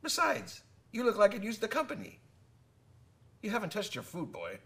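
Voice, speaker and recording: A weathered old sea captain in a theatrical radio drama. His voice is heavily creaky and gravelly, with a bright, forceful edge. He talks in folksy, fatherly sailor fashion, weary and kindly, with lively swings in pitch. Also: normal speed, full character voice. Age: older. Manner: salty old-sailor talk, calling listener laddie.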